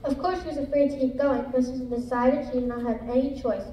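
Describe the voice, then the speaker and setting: A woman's voice: high voice, steady tone, fast talker, warm tone. A female student narrator reading a scripted story aloud in a reader's theater show. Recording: echoey room, distant mic, background noise.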